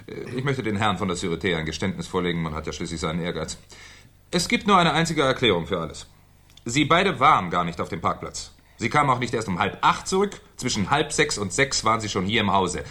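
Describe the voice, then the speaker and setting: A shrewd commissioner questioning suspects in a vintage radio crime drama. His voice sits slightly low with a husky grain. He is formally polite yet sardonic and insistent. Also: animated, fast, pushed and bright. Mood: accusing, confident. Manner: probing, sardonic, formally polite, hesitating with 'äh'. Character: shrewd, insistent male French police commissioner interrogating suspects. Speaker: actor in a radio crime play. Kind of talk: 1970s German radio drama acting. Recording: 1970s studio radio play recording.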